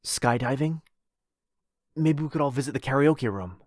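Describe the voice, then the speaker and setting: A man's voice: mid-range voice, animated, normal speed, no echo, studio quality, natural voice. A man playing a lovestruck, possessive, centuries-old vampire brother in a boyfriend roleplay, tender and pleading. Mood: overjoyed.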